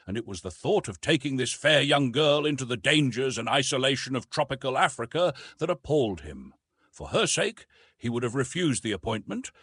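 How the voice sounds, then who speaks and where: A man narrating a classic adventure novel in a measured, formal storytelling manner. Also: mid-range voice, a bit bright, slightly rough, no room sound. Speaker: audiobook narrator. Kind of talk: scripted literary narration.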